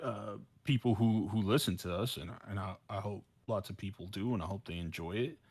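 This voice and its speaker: A man's voice: on the low side, a little fast, a little mellow, slightly rough, no echo. Playful, slangy man in casual podcast chat. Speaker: podcast co-host.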